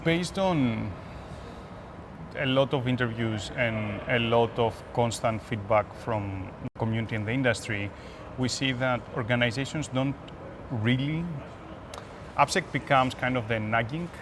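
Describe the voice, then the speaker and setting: A man with a Greek accent giving conversational interview answers as an expert guest, pausing between phrases. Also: mid-range voice. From Greece. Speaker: guest, application security expert. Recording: noisy urban ambience behind voice.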